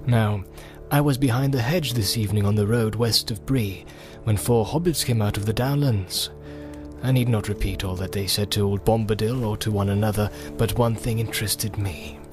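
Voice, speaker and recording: A man voicing a grim, secretive, world-weary wanderer of the wilds in an audiobook, measured and guarded. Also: on the low side, music in the background, lightly acted. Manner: measured and guarded, often dropping to a hush. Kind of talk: audiobook character acting.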